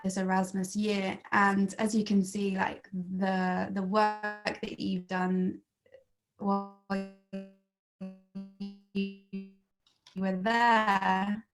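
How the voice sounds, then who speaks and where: A woman's voice: medium pitch, little pitch movement, very slow, long pauses, music in the background, low-quality recording. A woman hosting an interview over a video call, hesitant and full of fillers.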